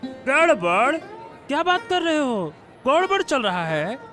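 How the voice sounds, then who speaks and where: A man's voice: very high-pitched, sing-song, slow, bright, forceful tone, soft background music, exaggerated voice. A man playing a curious, gullible village fruit seller in a cartoon story, chatty and exclaiming. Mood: gossipy, surprised.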